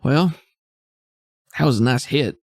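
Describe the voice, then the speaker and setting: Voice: mid-range voice, lively intonation, unhurried, a little mellow, dry recording, clean studio sound. Someone voicing a smug, slangy masked thief woman. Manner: slangy, cocky, grumbling. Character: smug, evasive female thief in a gold domino mask. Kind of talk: improvised tabletop roleplay.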